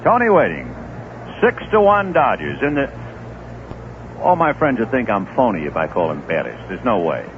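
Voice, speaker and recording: A man doing live play-by-play for a baseball radio broadcast, telling relaxed anecdotes between pitches, on a tinny old radio recording. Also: moderate pace. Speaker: play-by-play announcer. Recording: tinny lo-fi 1970s radio broadcast with faint hiss.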